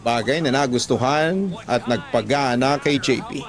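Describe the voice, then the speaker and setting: A man's voice: medium pitch, unhurried. A man with a Filipino accent reading a listener's love letter as a scripted first-person radio narration.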